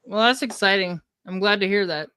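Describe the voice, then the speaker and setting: Bright, crisp, upbeat podcast host. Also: medium pitch, brisk, no echo, studio quality. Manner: upbeat, brief encouraging replies. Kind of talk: casual interview questions.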